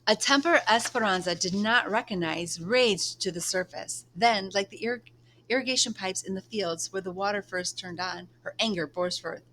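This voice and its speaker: A woman reads a children's book aloud as a storyteller. Her voice sits at a medium pitch, and there is clear noise in the recording. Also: normal speed, a bit bright, low-quality recording.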